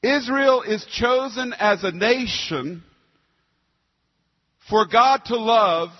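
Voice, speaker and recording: A man with a high-pitched voice preaches in an emphatic, rhetorical way, moving between scripture and explanation. His words carry a long hall reverb, and the recording is somewhat dull and muffled. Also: slow. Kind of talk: sermon with scripture readings.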